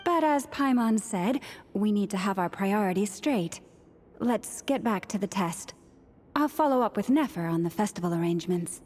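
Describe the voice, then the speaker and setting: A woman playing a gentle young priestess. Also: medium pitch, lively intonation, moderate pace, slight background noise, close to an everyday voice. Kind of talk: video game cutscene voice acting.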